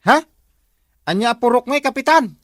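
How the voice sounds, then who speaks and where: A man's voice: very high voice, moderate pace, a bit bright, no echo, clean studio sound. A man acting an eager village watchman and comic sidekick in an Ilocano comedy drama, with an Ilocano accent. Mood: curious, eager.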